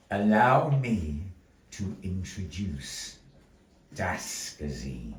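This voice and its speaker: A male master of ceremonies delivers theatrical patter with a halting, mock-flustered politeness. His pitch swoops widely and his pace is unhurried. The sound is that of a live indoor venue, picked up from some distance. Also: darkish tone. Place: indoor gallery performance space. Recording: roomy live venue, distant mic, faint noise.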